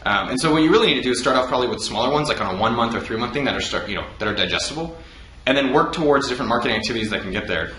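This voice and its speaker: Fast-talking man giving casual business advice. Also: medium pitch, lively intonation, pushed and bright. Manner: fast, loose, swears freely, laughs often. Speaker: host. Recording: echoey room with faint noise.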